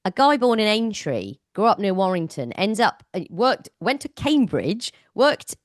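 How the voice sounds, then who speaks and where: A woman hosting an interview in casual chat, enthusiastic and lively, with hesitant restarts. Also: mid-range voice, measured pace, dry recording, studio quality. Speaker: host.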